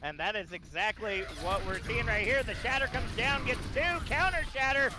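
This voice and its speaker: A man casting esports play-by-play, calling fights and players in quick succession. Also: high-pitched, background noise. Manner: rapid play-by-play, rising excitement in team fights. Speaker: play-by-play caster.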